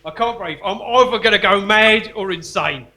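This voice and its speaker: A man's voice: high voice, moderate pace. A man playing an anxious, indignant prison accomplice in a live stage comedy, fretful and complaining. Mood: anxious, despairing. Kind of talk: live comedy theatre acting. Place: live performance in a museum. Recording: noisy live stage recording.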